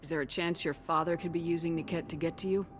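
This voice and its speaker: An actress voicing a steady, probing woman commander in a video game, speaking in a measured, direct way. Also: low-pitched, faint music behind, lightly acted.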